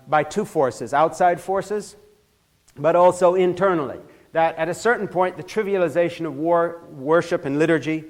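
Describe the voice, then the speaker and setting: An older man from Switzerland giving an impassioned talk in a church, growing heated and emphatic as he goes, often restarting his sentences. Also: a little high. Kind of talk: impassioned lecture.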